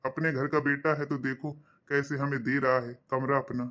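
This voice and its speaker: A man voicing an elderly, pious, accommodating father in a cartoon, soft-spoken and reasoning. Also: mid-range voice, little pitch movement, normal speed, close to an everyday voice. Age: older. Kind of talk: cartoon voice acting.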